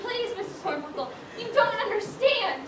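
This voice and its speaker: A woman's voice: very high voice, big pitch swings, moderate pace. A woman on stage playing a flustered, polite widow who keeps a dress shop in an amateur comedy. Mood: anxious placating. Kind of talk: amateur one-act stage comedy acting. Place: stage in a large echoey room. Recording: echoey stage, noisy, far-off mic.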